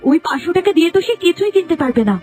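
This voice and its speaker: A woman plays a cartoon village wife with an extremely high voice. She scolds in exasperation at a quick clip, over faint music. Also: expressive, darkish tone. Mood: scolding. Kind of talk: Bengali cartoon dubbing. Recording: music under the voice.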